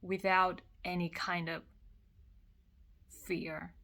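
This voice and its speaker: A woman from Korea with a Korean accent, speaking in English as a second language. She talks in a personal, emotional monologue after crying, halting and repeating phrases. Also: on the low side, flat delivery, a bit bright. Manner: slow, halting, repeats phrases, many pauses. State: has been crying. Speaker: vlogger.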